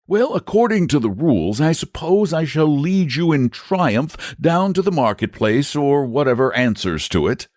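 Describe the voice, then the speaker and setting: A man's voice: fairly high pitch, sing-song, moderate pace, few pauses, darkish tone, no room sound, studio quality, voice pushed up. A man voicing a patient, earnest young knight who talks persuasively.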